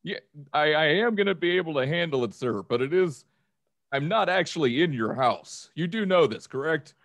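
A man acts out a strained, over-polite phone support agent for a comedy sketch. He speaks formally and haltingly, with a dry, close sound. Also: on the high side, animated, a bit bright, no echo. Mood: strained patience. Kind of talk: improvised comedy phone-call skit.

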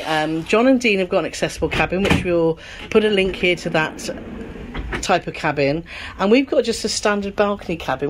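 A woman's voice: on the low side, slight background noise. A middle-aged woman chatting casually as she tours a cruise cabin, often checking for agreement. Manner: chatty, seeks agreement with tag questions. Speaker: travel vlogger co-host. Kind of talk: casual tour chat. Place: cruise ship cabin, indoors.

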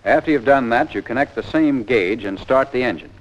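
A man's voice: medium pitch, brisk. An American man narrating a 1940s instructional film in a plain, step-by-step manner. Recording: dull, band-limited 1940s film soundtrack.

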